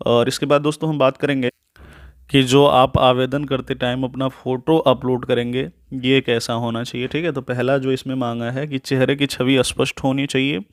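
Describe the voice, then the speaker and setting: Guy doing an informal step by step tutorial, medium pitch, instructive, keeps checking you're following. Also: level tone, normal speed. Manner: instructive, repeatedly checks in with a tag question. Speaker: tutorial presenter. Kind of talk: informal step-by-step explainer, reading points then explaining.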